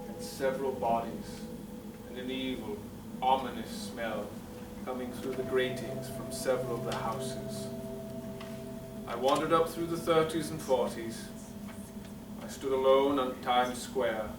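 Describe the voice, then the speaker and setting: Heard from a distance over clear noise in an echoing space, a man plays a solemn survivor in an old-time radio play. His mid-range voice is grave and measured, with a theatrical weight. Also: medium pitch, natural voice. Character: solemn male survivor narrating an alien invasion. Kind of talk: old-time radio drama narration and dialogue. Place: stage performance in a roomy theatre. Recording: echoey, noisy stage captured from afar.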